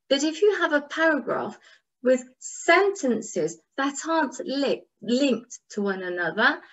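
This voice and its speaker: A woman whose first language is Turkish, lecturing in English at a workshop, pausing on fillers and asking herself rhetorical questions. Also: sits high, low-quality recording. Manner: measured teaching, frequent fillers and rhetorical questions. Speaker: academic English instructor.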